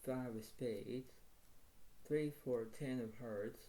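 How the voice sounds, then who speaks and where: A man with a soft, quiet voice commenting on his own poker hands in a halting way, repeating himself and saying "wow". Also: medium pitch, low-quality recording. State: slight tickle in throat. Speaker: poker video host. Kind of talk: casual play-by-play commentary.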